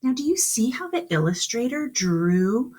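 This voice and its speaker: A woman's voice: a little high, sing-song, unhurried, mellow, husky. A woman kindergarten teacher reading a storybook aloud to young children, expressively, with exclamations, questions and explanations along the way.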